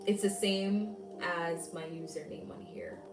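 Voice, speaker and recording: A woman's voice: lowish voice, sing-song, measured pace, roomy sound, far from the mic, loud music behind the voice. A young Jamaican woman chatting casually on a livestream, reading and answering viewer comments aloud. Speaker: livestream host.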